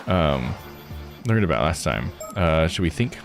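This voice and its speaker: A man streaming a visual novel, thinking out loud in casual live commentary as he reads and puzzles. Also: extremely low pitch, sing-song, unhurried, creaky, soft background music. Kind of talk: casual live game commentary, reading text aloud.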